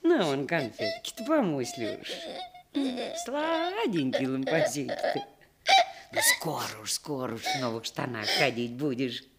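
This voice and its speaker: A woman's voice: extremely low pitch, wildly swooping pitch, measured pace, over a noisy crowd, exaggerated voice. An actress playing an old Russian village grandmother in a radio play, scolding yet doting, in folksy rural dialect. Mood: tearful coaxing. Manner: folksy dialect speech with lamenting exclamations.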